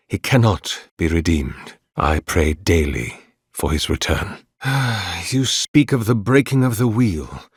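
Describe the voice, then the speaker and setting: An actor voicing an ancient, devout godlike priest in a video game, formal, courteous and melancholy, speaking in measured, archaic phrases. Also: male voice, fairly low pitch, no echo, clean studio sound. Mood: grave, devout. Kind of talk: solemn video game character dialogue.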